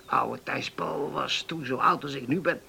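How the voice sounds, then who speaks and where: Radio play: garrulous old islander, gravelly and bright, folksy, halting, noisy old recording. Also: male voice, mid-range voice, full character voice. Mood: wistful. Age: 70s. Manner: folksy, halting, repeats himself, verbal tics. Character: old Dutch islander man, garrulous, reminiscing storyteller. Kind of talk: radio drama acting.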